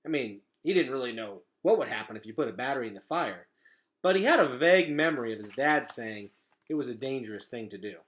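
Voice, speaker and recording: A man's voice: mid-range voice, expressive, fast, a bit bright. A man reading a storybook aloud expressively, like a teacher.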